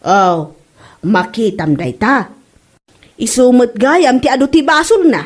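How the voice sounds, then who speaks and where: A woman's voice: on the high side, expressive, slow. A woman playing a stern but neighborly mother in a comedy drama, with an Ilocano Filipino accent. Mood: annoyed. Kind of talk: amateur comedy radio-style drama.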